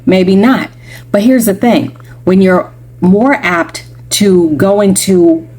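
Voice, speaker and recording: A woman with a deep voice giving a casual, chatty motivational talk to the camera, full of rhetorical questions and playful asides. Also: a little mellow, husky. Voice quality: deep voice, groggy when tired. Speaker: spiritual reader.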